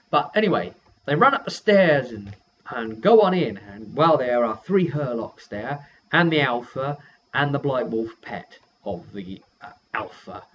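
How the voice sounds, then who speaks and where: A man giving a casual, rambling recap as a game master and video host, halting and often correcting himself mid-sentence. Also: medium pitch, a bit bright. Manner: halting, self-correcting delivery. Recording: dull lo-fi sound with faint noise.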